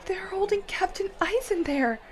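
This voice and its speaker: A woman's voice: very high voice, expressive, moderate pace. A woman playing a timid, anxious young lieutenant with a shaky, tearful voice in an anime fan audio drama.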